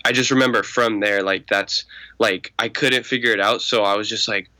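A man in his twenties with a voice raspy from a cold, answering questions in a laid-back, rambling way with many fillers. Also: on the low side, fairly even intonation, normal speed, sharp tone. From Arizona. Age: 20s. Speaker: guest, music producer. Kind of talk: casual podcast interview.

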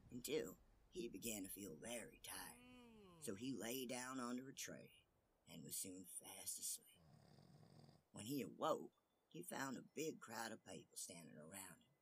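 A man's voice: lowish voice, fairly bright tone, a little hiss or hum. A man narrating a scripted fairy tale as the storyteller. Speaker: storyteller host.

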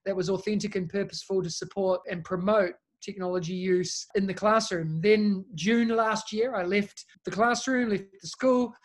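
A man in his thirties with a New Zealand accent talks easily as a podcast guest. His tone is friendly and unhurried, and he runs through long lists of the people he works with. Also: high-pitched. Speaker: guest, education technology consultant. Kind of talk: casual interview chat.

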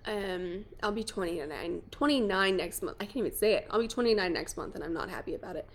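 Chatty young woman with a gravelly edge. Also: mid-range voice, animated, fast. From New Mexico. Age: 20s. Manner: chatty, self-deprecating, playful asides. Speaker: podcast host, wedding photographer. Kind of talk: casual chat.

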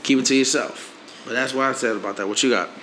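A man's voice: mid-range voice, fast talker, fairly bright tone, slight background noise. A man co-hosting a podcast, laid-back and slangy, reading listener questions and tossing in short agreeing remarks. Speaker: podcast co-host. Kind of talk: casual advice chat.

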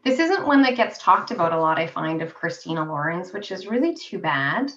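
A woman's voice: on the low side, big pitch swings, a little fast, fairly bright tone, dull audio, a little hiss or hum. A chatty woman with a young-sounding voice, a podcast guest in casual conversation over a remote call. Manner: chatty, laughs easily. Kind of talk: casual chat.

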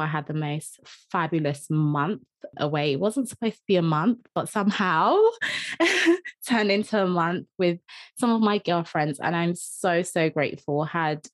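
A woman's voice: fairly low pitch, no room sound. A young woman hosting a podcast, chatty and bubbly, laughing often as she talks with a guest. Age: young adult. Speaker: podcast host. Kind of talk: casual interview chat.

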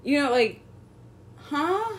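A woman's voice: sits high, sing-song, slow. A woman around thirty in casual chat, talking loosely with lots of filler words. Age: 30s.

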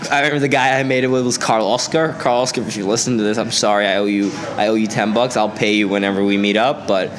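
A man's voice: fairly low pitch, steady tone, fast, hardly any pauses. Young male podcast guest with a faint Swedish accent, loose and rambling, crowd chatter behind him. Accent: slight Swedish. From Sweden. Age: young adult. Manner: laid-back, rambling, laughs often, lots of "like". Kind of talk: casual podcast chat.

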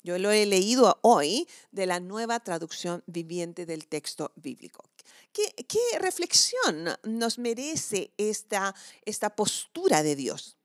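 Female devotional preacher. Animated pitch, reflective and emphatic delivery, conversational sermon style, dry sound. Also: takes it slowly, dry recording. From southern Chile.

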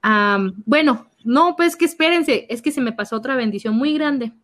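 Young Mexican woman, youth minister, fairly high voice, animated storyteller acting out her own reactions. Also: normal speed. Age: young adult. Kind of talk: personal testimony in conversation.

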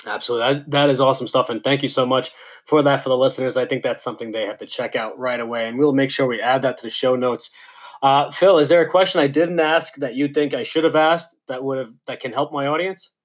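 A podcast host with a New York accent talks to his guest and listeners in a friendly, upbeat way. His voice sits at a middle pitch for a man. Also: fairly even intonation, fast talker. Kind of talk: casual podcast interview.

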